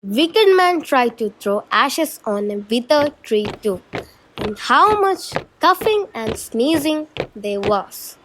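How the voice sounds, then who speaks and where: A woman's voice: a little high, very animated, slow. A woman reading scripted storybook narration for a shadow puppet show. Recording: noisy amateur recording.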